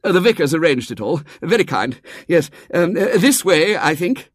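Man playing a high, flustered, stammering chaperone. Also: very animated, normal speed, breathy edge, dry recording, studio quality. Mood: anxious, kindly. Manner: dithering, stammering, fussy. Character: tall, thin, harassed, anxious, kindly man herding children.

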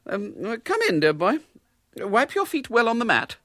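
A man voicing a prim, fussy spinster lady in a storybook reading, with a high, fluttering and hesitant manner. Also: huge pitch swings, unhurried, pushed and bright, dry recording, studio quality, full character voice.

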